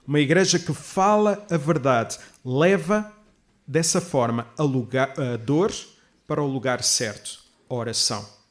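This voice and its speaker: A man preaching a sermon as a pastor, teaching in a measured, didactic way with emphatic stresses and rhetorical questions. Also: mid-range voice. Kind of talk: sermon, expository preaching to a congregation.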